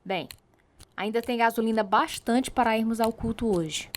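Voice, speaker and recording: A woman acting as an evangelist's devout, thrifty wife in a radio drama, speaking in an earnest, measured way. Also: fairly high pitch, slight background noise, natural voice. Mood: grateful, practical.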